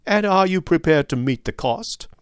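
A man voicing a querulous little old nobleman, miserly and indignant, with a sharp crackling voice and quick, intense, scolding manner. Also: on the high side, expressive, clear, resonant tone, no room sound, studio quality, voice pushed up, close to an everyday voice.

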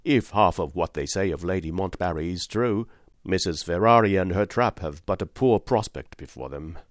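A man reading an audiobook, voicing a grave, skeptical lawyer who speaks in a dry, measured, gently ironic way. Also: deep voice, animated, dry recording. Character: grave, skeptical middle-aged male lawyer. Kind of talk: audiobook reading of a classic novel.

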